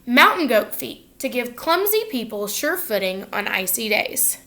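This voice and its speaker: A woman with a high voice reads a children's picture book aloud as a teacher would. Her delivery is expressive and instructive, with pauses to check that young listeners follow along. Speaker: teacher reading to students.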